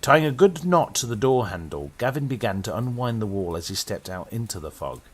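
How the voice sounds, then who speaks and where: A man's voice: very animated, brisk, noisy background. A British man narrating a horror story in a dramatic storytelling manner. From Britain. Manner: dramatic storytelling with sweeping pitch.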